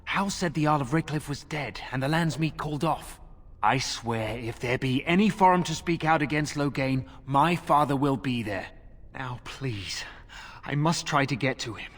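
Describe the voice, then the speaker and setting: A man's voice: medium pitch, moderate pace, close to an everyday voice. An actor playing a proud young nobleman in a video game, speaking in formal, courtly phrases. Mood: urgent, resolute. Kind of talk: video game cutscene acting. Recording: long hall reverb.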